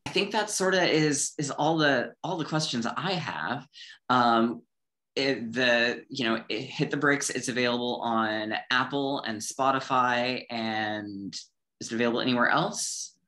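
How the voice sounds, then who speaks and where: A man's voice: mid-range voice, measured pace, pushed and bright. A man hosting a casual podcast interview in an encouraging, enthusiastic manner. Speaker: co-host interviewer.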